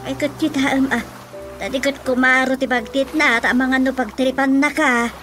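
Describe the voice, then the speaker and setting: A woman's voice: high-pitched, fairly even intonation, moderate pace, loud music behind the voice, close to an everyday voice. A woman acting a gossipy, complaining villager in an Ilocano radio drama comedy, tattling and wailing with an Ilocano accent. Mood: gossipy, urgent.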